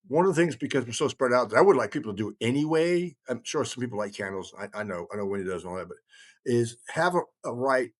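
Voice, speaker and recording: Earnest, folksy middle-aged man chatting. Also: medium pitch. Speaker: podcast host. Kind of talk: casual rambling group discussion.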